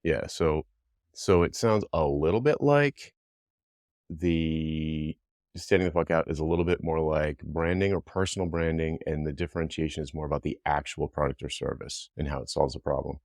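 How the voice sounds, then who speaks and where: A man's voice: a bass voice, normal speed, a little mellow, dry recording, studio quality. A man hosting a podcast, asking probing questions and thinking aloud as he frames them. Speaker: podcast host. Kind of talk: interviewer questions.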